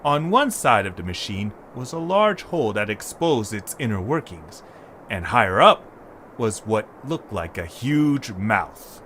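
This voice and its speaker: A man's voice: on the low side, very animated, unhurried, fairly bright tone. A man reading scripted storybook narration as a narrator. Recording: faint background noise.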